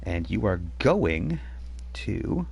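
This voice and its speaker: Deep-voiced man running a tabletop game. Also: sing-song, extremely slow, vocal fry, darkish tone, a little hiss or hum. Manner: chatty, laughs often, rambling with restarts. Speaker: game master.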